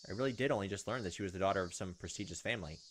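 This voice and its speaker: A man's voice: deep voice, very fast, slight background noise. A man hosting a let's play, reading game text aloud and adding wry, casual commentary. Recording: faint game music behind the voice.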